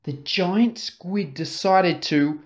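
A woman narrating a children's story expressively, dramatic and deliberate, drawing out key words. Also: on the low side, muffled recording. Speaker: storybook reader.

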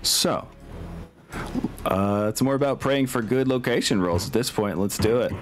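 A man's voice: medium pitch, a little slow. A man acting as game master, narrating a tabletop war game with wry, mock-formal turns of phrase. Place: indoors at home, online group call. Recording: music playing behind the voice. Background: music.

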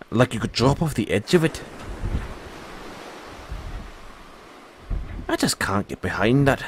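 A man playing a free-spirited, kindly dragon rider and mentor in an audio roleplay, musing and unhurried with pauses. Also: medium pitch, darkish tone, a little hiss or hum. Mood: reflective.

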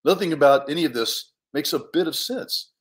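A man's voice: fairly high pitch, a little fast, pitched below the performer's own voice, natural voice. A man voicing a huge, goofy, boastful talking bear who was a pet hamster, using laid-back dude slang for a children's storybook. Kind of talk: animated children's storybook read-aloud.

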